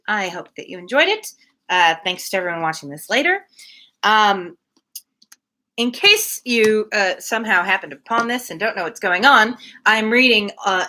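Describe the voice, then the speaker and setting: A woman with a bright, forward voice and middle pitch hosts a live reading. She is informal and unpolished, thinking aloud as she goes, moving easily between book narration and casual remarks. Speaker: livestream reader and host.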